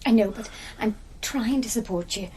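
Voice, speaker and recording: A woman's voice: on the high side, moderate pace, dark tone, slight background noise, close to an everyday voice. An actress playing a fussing, loving young Irish woman who cares for her partner, in a naturalistic radio drama. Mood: hurt. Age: young adult.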